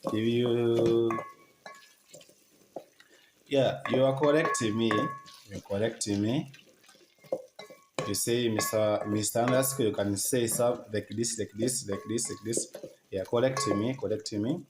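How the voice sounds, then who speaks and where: A man with a Tanzanian accent chats casually to viewers as he demonstrates cooking, in halting, simple non-native English with short repeated phrases. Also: medium pitch, fairly even intonation. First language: not English. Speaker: cooking vlogger. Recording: echoey, noisy with cooking sizzle.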